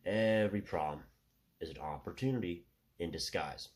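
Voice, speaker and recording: A man in his thirties talking through a rambling casual monologue with a stuffy nose, filling every pause with "you know" and "right". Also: sits low, a little mellow. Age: 30s. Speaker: solo commentator.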